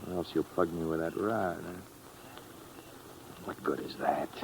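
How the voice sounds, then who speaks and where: A man's voice: sits low, a little slow, vocal fry, rough recording. An actor playing a scheming young man in a 1950s radio drama, hesitant and prone to stammering. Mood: nervous, defensive. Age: young adult. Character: nervous, scheming young man trying to talk smoothly. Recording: old radio recording, faint noise.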